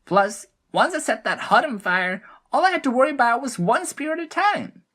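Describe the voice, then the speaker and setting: A woman's voice: sits high, very animated, moderate pace, a bit bright, voice pushed up, full character voice. A woman voicing a gleeful, mischievous male gnome arsonist in a fantasy audio drama, with a cocky, taunting manner.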